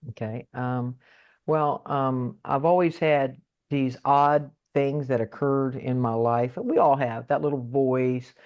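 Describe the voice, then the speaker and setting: A woman speaking as a spiritual guest in a casual interview, halting and repeating her words with many fillers over a video call. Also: extremely low pitch, fairly even intonation, dull audio.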